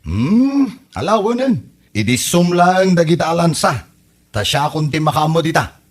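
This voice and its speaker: A man's voice: a little high, very animated, a little slow. A man playing a grumbling but easygoing husband in an amateur comedy audio drama, with a casual, grunting delivery.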